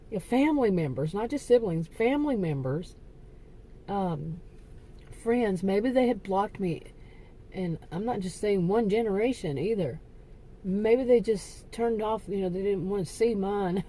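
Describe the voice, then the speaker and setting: Rambling woman with a mellow voice. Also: medium pitch, slight background noise. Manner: rambling, halting, self-correcting. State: losing her voice. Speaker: vlogger. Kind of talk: casual personal vlog monologue.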